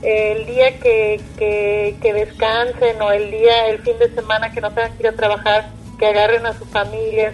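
A woman with a Mexican accent talks casually as a guest in an interview. Her voice is on the higher side, and music plays faintly behind her. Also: poor audio. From Puerto Vallarta, Mexico.

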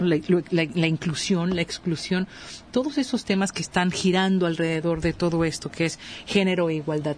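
Brief: man, radio co-host, fairly high voice, easygoing chat, faint noise behind.

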